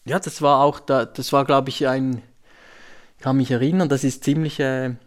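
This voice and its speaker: A man's voice: mid-range voice, steady tone, normal speed. A man talking conversationally as a money adviser, earnest and hesitant, repeating his phrases. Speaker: self-styled money adviser.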